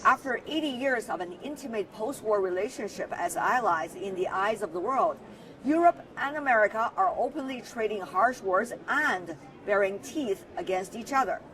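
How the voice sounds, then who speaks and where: Woman narrating news over clear music. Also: mid-range voice, expressive, moderate pace, recorded from a distance, low-quality recording. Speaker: news host.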